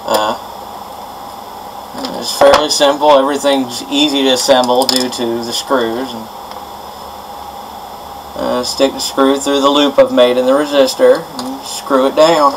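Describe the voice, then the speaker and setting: A man's voice: mid-range voice, little pitch movement, a little slow, long pauses. Man giving a DIY tutorial, noisy recording. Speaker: presenter of a DIY electronics tutorial. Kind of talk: informal hands-on tutorial. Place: indoors at a wooden workbench. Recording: noisy amateur camera audio.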